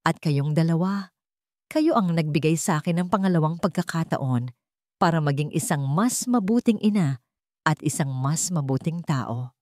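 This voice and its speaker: A woman voicing a softened, dignified rich mother speaking with earnest gratitude in a story. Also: low-pitched, lively intonation, normal speed, dry recording, studio quality. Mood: heartfelt gratitude.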